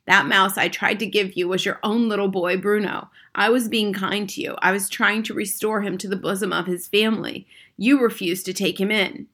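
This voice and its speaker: Storybook role: elderly Norwegian grandmother, composed and wily, bright clear-cut delivery female reader. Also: mid-range voice, moderate pace, few pauses, natural voice. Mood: calm, reasoning. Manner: calm, matter-of-fact, unruffled. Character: calm, sly old Norwegian grandmother, cigar-puffing.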